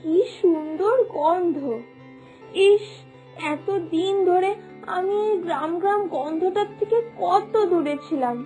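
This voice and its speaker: A young woman home after years away, wistful and dreamy, played by a woman in a cartoon story. She speaks slowly in a very high, light voice over soft music. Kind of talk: cartoon voice acting.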